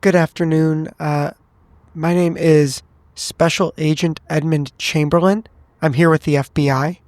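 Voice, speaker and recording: Man acting a federal investigator, formal, courteous, probing, slightly higher male voice, dry sound, tabletop game. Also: steady tone, measured pace, darkish tone, dry recording, natural voice. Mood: polite, businesslike. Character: polite, measured male FBI special agent.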